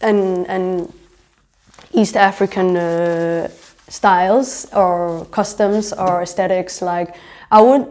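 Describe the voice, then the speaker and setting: A young woman who grew up in Denmark speaks casually in an interview. Her voice is mellow and warm, sitting a little low, and she explains things reflectively. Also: fairly even intonation, slow. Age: young adult. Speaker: interviewee, fashion designer and entrepreneur.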